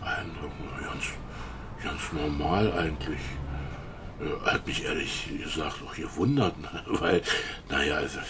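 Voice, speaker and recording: An actor playing a shady, evasive informant in a radio drama, with a halting, hemming way of talking. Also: male voice, a bass voice, background noise. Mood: shifty, confiding. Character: shady, evasive pub-going male informant, eager and shifty. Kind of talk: naturalistic German radio drama acting.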